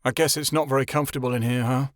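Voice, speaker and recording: A man's voice: mid-range voice, fairly even intonation, racing, no room sound, studio quality, natural voice. A man voicing a shy, resentful young warlock.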